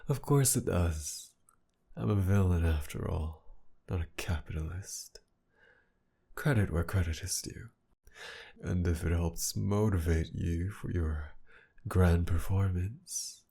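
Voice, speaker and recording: Casting: male actor for flirty villain captor, ASMR audio drama. Dark, mellow tone, husky and breathy, possessive, teasing delivery. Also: exaggerated voice. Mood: impatient, flirtatious. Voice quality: low, gravelly, breathy. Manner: slow teasing drawl, often dropping to a whisper. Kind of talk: intimate ASMR roleplay acting.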